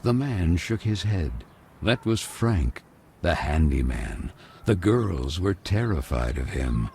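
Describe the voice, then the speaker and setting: Slow, very deep-voiced storybook narrator. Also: male voice, sing-song, vocal fry, a little mellow, slight background noise, exaggerated voice. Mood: solemn, measured. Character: solemn storybook narrator of a dark fairy tale. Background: music.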